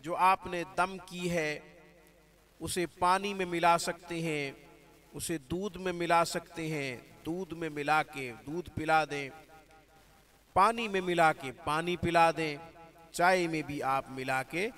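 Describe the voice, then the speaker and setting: A man with a fairly high voice teaches religious practice in a very slow, unhurried way. His delivery is calm and devout, woven with Arabic and religious phrasing. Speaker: spiritual healer teaching prayers. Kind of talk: religious instruction.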